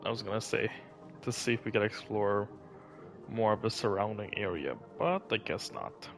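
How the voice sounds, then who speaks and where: Male streamer, casual commentary, low voice, faint game music. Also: moderate pace, creaky. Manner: casual, thinking aloud. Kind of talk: live gameplay commentary.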